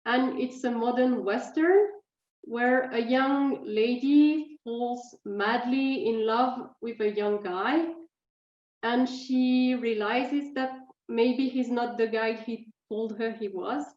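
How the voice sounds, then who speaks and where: Young woman, Swiss French accent, writer, hesitant with fillers, fairly high voice, roomy recording. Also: slow pace, a little mellow, dull audio. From French-speaking Switzerland. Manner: hesitant, many fillers and restarts. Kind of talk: talking about her books.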